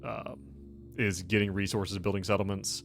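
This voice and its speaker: A man with a fairly low voice hosts a tabletop gaming podcast. He banters casually, teasing his friends and swearing freely, with faint music underneath. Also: little pitch movement, moderate pace, vocal fry, fairly bright tone. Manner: teasing, profane. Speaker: game master and host of a tabletop podcast.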